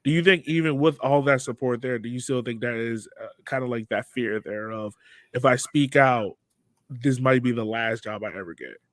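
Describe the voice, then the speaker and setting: A man's voice: medium pitch, normal speed, dry recording. Casual male podcast host, dry close sound. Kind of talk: casual conversational hosting.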